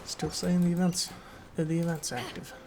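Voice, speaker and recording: A man's voice: on the high side, little pitch movement, normal speed, darkish tone, slight background noise. A man giving casual live gameplay commentary as a streamer. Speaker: game streamer.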